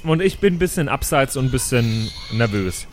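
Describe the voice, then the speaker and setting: A man's voice: mid-range voice, expressive, normal speed, slight background noise. A man improvising in character as a player in a tabletop role-playing audio game.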